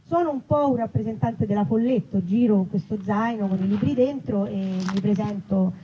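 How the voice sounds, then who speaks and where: A woman, a writer and actress, chatting casually and animatedly in an interview, often pausing to rephrase. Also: mid-range voice. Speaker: writer and actress interviewed about her book. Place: live festival event. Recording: muffled recording with clear background noise.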